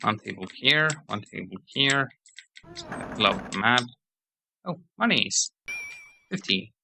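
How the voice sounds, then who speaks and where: A man giving rambling let's-play commentary on a game, hoarse as he loses his voice, reading menus and game text aloud. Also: on the low side, lively intonation, slow, background noise. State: hoarse, losing voice. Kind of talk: casual gameplay commentary.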